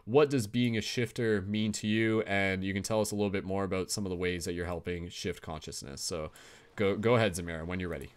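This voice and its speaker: A man with a very deep voice hosts a live online round-table. He hands the floor to guests in a relaxed, encouraging way. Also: a little fast, smooth. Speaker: host.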